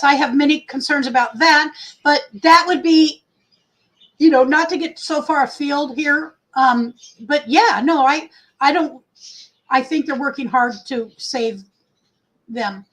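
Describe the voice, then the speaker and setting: Lady with a high big voice, chatty livestream host, kinda rambly. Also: fairly bright tone, rough recording. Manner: rambling, self-correcting, many pauses. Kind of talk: casual livestream chat.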